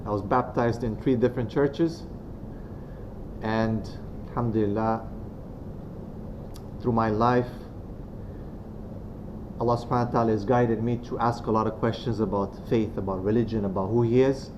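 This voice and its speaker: Low-voiced man in a muffled, noisy recording. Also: steady tone. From Romania. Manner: soft-spoken, unhurried, many pauses and fillers. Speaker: guest speaker. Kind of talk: religious talk to students. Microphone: venue microphone. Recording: dull lo-fi sound with clear background noise.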